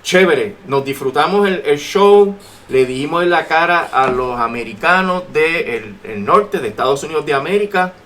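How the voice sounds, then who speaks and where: Man, Puerto Rican accent, slightly high voice, emphatic radio commentator, political talk, repeated phrases, faint background noise. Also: lively intonation, moderate pace, fairly bright tone. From Puerto Rico. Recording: noisy AM radio talk recording.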